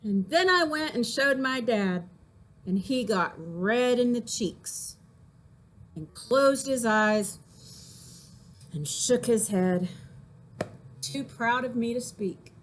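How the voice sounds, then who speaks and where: A woman's voice: on the high side, animated, takes it slowly, pauses often, a little hiss or hum. A woman voicing a naive, boastful young boy in an emphatic children's poem reading. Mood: proud. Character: foolish, proud young boy boasting of bad trades.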